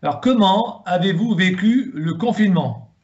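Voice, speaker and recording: A man's voice: high voice, normal speed, a little mellow. A man in his sixties asking formal, prepared interview questions over a video call. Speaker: interviewer, host. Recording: dull, lo-fi video call audio.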